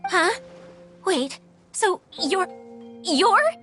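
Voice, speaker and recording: A very high, girlish game spirit, anxious and quick to put herself down. She sounds flustered and dramatic, hesitating as she goes, with music playing behind her. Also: very animated, a bit bright, heavily acted. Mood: panicked, mortified. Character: anxious, self-deprecating small female desert spirit. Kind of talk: video game character acting.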